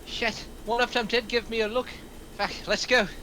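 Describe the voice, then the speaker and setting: A man's voice: extremely high pitch, fairly even intonation, normal speed, bright, forceful tone, slight background noise, rough recording. A man playing an anxious, foul-mouthed man on a stakeout in a script reading, with an urgent, exasperated manner. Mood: alarmed, urgent. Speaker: actor at a table reading.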